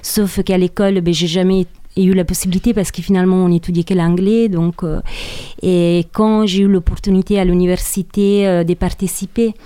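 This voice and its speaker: Hesitant Italian woman with a warm, clear voice. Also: fairly low pitch, flat delivery, fairly quick, no echo. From southern Italy. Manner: hesitant, many fillers and repeated words. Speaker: guest. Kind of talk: radio interview.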